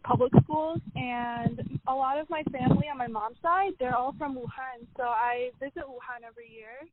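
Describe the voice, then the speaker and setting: A young woman from China introducing herself in a quick, casual way. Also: on the high side, slight background noise. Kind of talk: interview self-introduction. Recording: tinny phone-like line.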